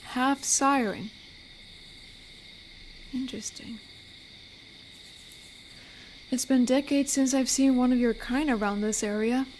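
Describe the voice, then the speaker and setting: Woman voicing an unhurried, playful werewolf forest guardian. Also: high-pitched, fairly even intonation, darkish tone, a little hiss or hum. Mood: curious, teasing. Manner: unhurried, teasing, conversational with pauses. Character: amiable, playful female werewolf, protector of a forest. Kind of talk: roleplay audio drama, intimate one-to-one address.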